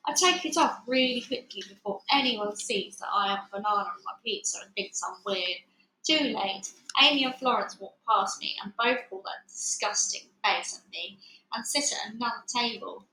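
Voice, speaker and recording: A woman reading a children's book aloud in an expressive, dramatic storytelling style. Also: medium pitch, normal speed. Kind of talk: animated read-aloud narration. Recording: echoey room, faint background noise.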